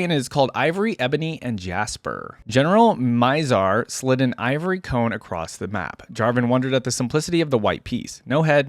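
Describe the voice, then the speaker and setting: A man's voice: mid-range voice, no echo. A man reading fantasy lore aloud as a reaction host, with informal commentary in a casual style.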